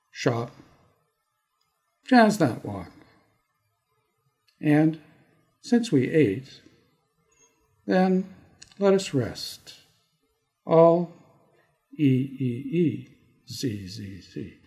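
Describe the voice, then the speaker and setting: Slow-paced Canadian man, deliberate and halting. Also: on the high side, darkish tone. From Toronto area, Canada. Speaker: poet, guest on an arts podcast. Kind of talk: casual self-introduction and slow poetry reading. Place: studio.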